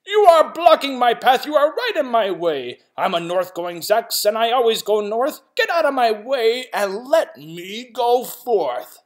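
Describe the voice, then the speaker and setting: A man's voice: high voice, lively intonation, takes it slowly, hardly any pauses, pitched above the performer's own voice, exaggerated voice. A man voicing a proud, stubborn male north-going Zax creature in a children's storybook, blustering and insistent. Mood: indignant.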